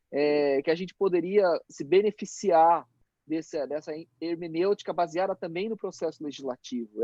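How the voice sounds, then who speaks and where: A man's voice: on the high side, a little mellow, dry recording, muffled recording. A man mediating a live academic debate, asking long rambling questions full of "né?" tags. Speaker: mediator and law professor. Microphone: video call.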